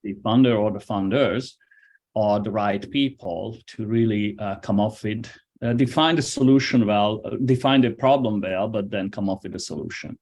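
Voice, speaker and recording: A man, a business advisor and professor, speaking in a measured way with frequent fillers in a casual interview. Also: on the low side, darkish tone. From Hungary. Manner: measured, hesitant with many fillers.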